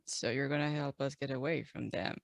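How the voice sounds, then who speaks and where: A woman's voice: extremely low pitch, steady tone, fairly quick, vocal fry, no room sound, clean studio sound. A woman playing a bewildered, curious adventurer in a tabletop game, stammering and slangy. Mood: accepting.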